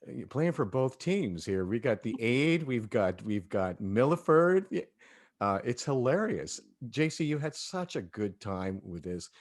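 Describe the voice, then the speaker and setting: A man hosting a casual panel discussion, talking with enthusiasm. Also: mid-range voice. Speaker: host of a cast talkback.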